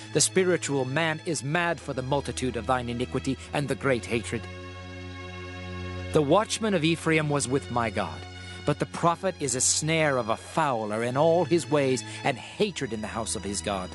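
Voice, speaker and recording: A man's voice: medium pitch, expressive, moderate pace, faint music behind, close to an everyday voice. A man playing a stern prophet who speaks for an angry God, declaiming scripture solemnly in a dramatized reading. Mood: grave, condemning.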